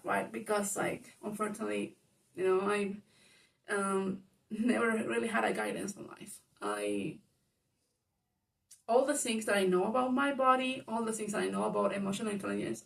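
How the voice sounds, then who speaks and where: A woman with a Spanish-speaking accent, a native Spanish speaker, telling a personal story in a rambling monologue full of fillers. She describes her own voice as loud. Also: mid-range voice, gravelly, far from the mic, poor audio. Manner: rambling, lots of fillers, grows loud when reenacting arguments. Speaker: vlogger.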